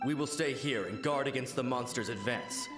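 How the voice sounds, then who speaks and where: A man's voice: mid-range voice, moderate pace, close to an everyday voice. A man playing a staunch, loyal samurai soldier in an anime game, formal and earnest. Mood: resolute, loyal. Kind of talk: dramatic anime game voice acting. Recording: echoing reverb with game music.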